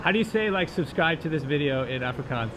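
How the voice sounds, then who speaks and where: A man interviewing passersby on the street, asking quick, upbeat short questions. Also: on the high side, animated. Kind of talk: vox pop street interview. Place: outdoors on a city street. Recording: street noise outdoors.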